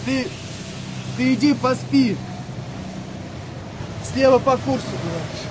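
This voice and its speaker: An actor playing a hesitant, doubting wartime sailor in a radio play, with halting colloquial speech. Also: male voice, very high voice, animated, noisy background, exaggerated voice. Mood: hesitant, doubtful.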